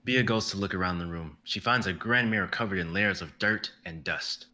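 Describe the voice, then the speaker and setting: A young man narrating the stage directions of a dramatic reading in a steady, descriptive way. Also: deep voice, normal speed. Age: young adult.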